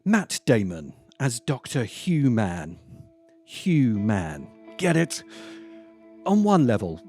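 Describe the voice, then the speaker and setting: A man narrating a scripted video essay as its host, speaking deliberately with dramatic pauses and emphasis. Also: medium pitch, wildly swooping pitch, darkish tone, faint music behind. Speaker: host of a film commentary channel.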